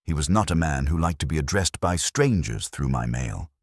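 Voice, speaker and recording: A man's voice: very deep voice, animated, normal speed, dry recording. A man reading scripted first-person detective fiction as an audiobook narrator, with a wry, dry wit.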